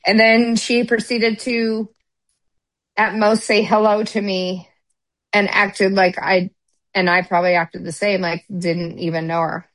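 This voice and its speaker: A woman's voice: medium pitch, fairly even intonation, measured pace, dry recording, rough recording. A middle-aged woman speaking bluntly and emphatically in a candid podcast chat, often restarting her sentences.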